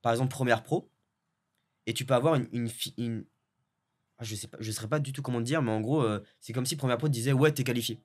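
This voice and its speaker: A young man from French Guiana, a video editor, chatting in a relaxed way with lots of fillers and restarts. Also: mid-range voice, quick pace. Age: young adult. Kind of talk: casual interview chat.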